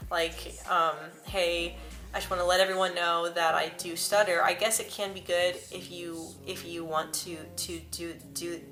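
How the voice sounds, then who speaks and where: A young woman vlogging to camera in a casual, earnest way, stuttering often with repeated sounds and words. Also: lowish voice. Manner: earnest and personal. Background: soft background music.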